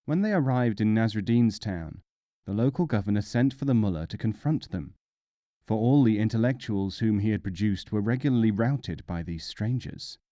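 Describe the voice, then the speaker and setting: A man narrating folk tales as an audiobook reader, in a measured, expressive storytelling manner. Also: on the low side, no echo, studio quality.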